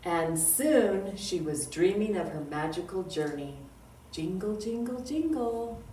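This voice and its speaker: A woman reading a picture book aloud to young children as a storytime librarian, with drawn-out words and dramatic emphasis. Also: mid-range voice, lively intonation, dark tone. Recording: roomy echo, distant mic, faint noise.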